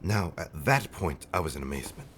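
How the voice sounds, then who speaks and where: A man's voice: very deep voice, expressive, brisk, a bit bright, slight background noise. A man playing an affectionate, centuries-old vampire husband in an ASMR roleplay, telling stories in a theatrical, teasing, old-fashioned way with dramatic swells and whispers. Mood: wistful, fond.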